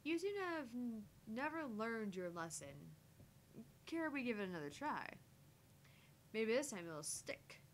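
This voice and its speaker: A woman's voice: fairly high pitch, big pitch swings, a little slow, pitched above the performer's own voice. Woman voicing a feisty young woman, noisy recording. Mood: taunting. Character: feisty, defiant young woman trading quips. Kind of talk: voiced reading of visual novel dialogue.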